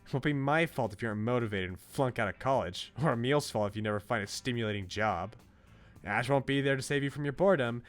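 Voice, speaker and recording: A man voicing a blunt, protective, teasing young woman coach in a visual novel, in a brisk, informal pep-talk manner. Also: mid-range voice, natural voice. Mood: stern.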